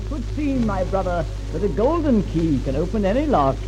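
Snide prince in old radio drama, highish voice, swoops around, mocking and theatrical. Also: male voice, very animated, normal speed, over loud music, low-quality recording. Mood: sly. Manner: sardonic, taunting, theatrical. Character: sly, mocking prince, younger brother of the king. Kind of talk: old-time radio historical drama acting. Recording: vintage 1940s-50s radio recording.

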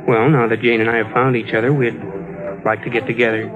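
A man's voice: medium pitch, level tone, fast talker, natural voice. A man acting in a 1950s radio drama as a stubborn, lovestruck believer, speaking sincerely and insistently. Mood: sincere, hopeful. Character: stubborn, lovestruck man convinced of parallel worlds. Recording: dull vintage radio recording with music.

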